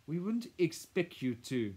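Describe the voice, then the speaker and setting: Storybook villainess: imperious Frenchwoman acted by a man, raised pitch, French accent, snappish and condescending. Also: big pitch swings, moderate pace. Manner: haughty, curt, cutting. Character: imperious, impatient French housekeeper guardian woman.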